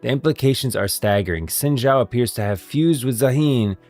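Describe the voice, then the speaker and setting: A man's voice: mid-range voice, fairly quick, darkish tone, faint music behind. A man doing casual live-stream commentary, talking in an emphatic, repetitive, slangy way.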